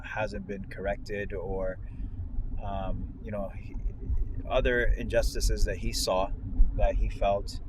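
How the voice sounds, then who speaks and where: An American man in casual discussion as a co-host, explaining his points emphatically. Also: lowish voice. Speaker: show co-host. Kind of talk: casual discussion while driving. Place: inside a moving car. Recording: loud car and road noise.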